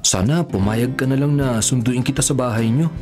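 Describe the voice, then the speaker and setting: A man's voice: medium pitch, moderate pace, a little mellow, soft background music, lightly acted. An actor playing a smitten, easily frightened young man in a Filipino radio horror drama. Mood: tender. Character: smitten young man, birthday celebrant, terrified by a monster.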